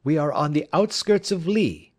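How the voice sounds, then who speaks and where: A man's voice: a little high, lively intonation, normal speed, darkish tone, voice pushed up. A man playing an assured, courteous detective in an audiobook, speaking with precision. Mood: assured, explanatory. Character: brisk, assured male detective. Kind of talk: audiobook character voice.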